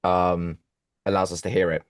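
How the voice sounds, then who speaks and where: Deep-voiced man, dry sound, informal practical advice on an online call. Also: little pitch movement, normal speed, no echo. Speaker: call participant.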